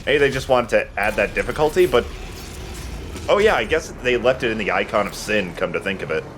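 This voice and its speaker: A man hosting a let's play in a fairly low voice. His intonation swings up and down as he chats casually, joking and swearing, with faint noise behind him. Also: expressive, fairly quick. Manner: joking, profane. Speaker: let's play host and player. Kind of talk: casual game commentary.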